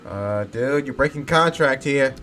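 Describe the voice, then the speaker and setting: A man's voice: medium pitch, measured pace, a little hiss or hum. A man giving casual, playful and mock-dramatic commentary on his own video game playthrough. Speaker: gamer narrating own playthrough. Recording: loud game audio and music behind. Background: game music and sound effects.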